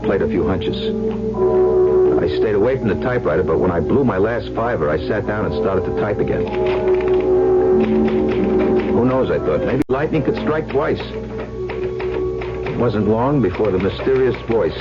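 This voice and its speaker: A male actor as a wisecracking down-on-his-luck gambler, swinging widely in pitch through slangy radio narration and dialogue. The old recording is muffled, with music behind him. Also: expressive, moderate pace, close to an everyday voice. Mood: wry, cynical. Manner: wisecracking, slangy, hard-boiled. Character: cynical, money-hungry down-on-his-luck gambler poet, narrating his story.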